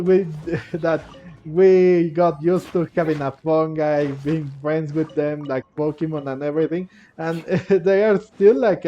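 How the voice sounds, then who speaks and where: A man with a fairly high voice comments casually on a video game. He rambles and halts, thinking aloud as he plays, with game music faintly behind him. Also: mellow. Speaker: gaming commentator. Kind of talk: casual let's-play commentary.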